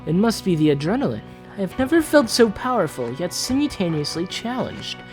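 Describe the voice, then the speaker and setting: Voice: medium pitch, very animated, fairly quick, faint music behind, natural voice. A performer voicing a proud, entitled eldest prince, reading fantasy journal entries in a formal, theatrical manner. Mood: uneasy, haughty. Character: Prince Johannes, proud, haughty, entitled eldest royal son on a quest. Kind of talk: dramatic fantasy journal narration, fan dub.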